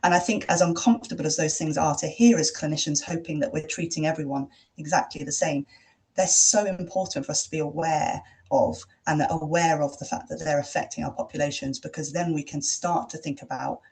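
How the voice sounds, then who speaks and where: A woman doctor in a podcast discussion, explaining carefully in an earnest, measured way. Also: low-pitched, a little mellow, poor audio. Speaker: headache specialist and GP.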